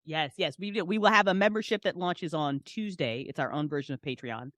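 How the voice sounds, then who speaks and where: A woman's voice: sits low, fairly quick, a bit bright, no room sound. A woman chatting casually as an interview guest, effusive and emphatic, with slangy, repetitive turns of phrase. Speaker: guest, fiction podcast writer and creator. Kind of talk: animated casual interview chat.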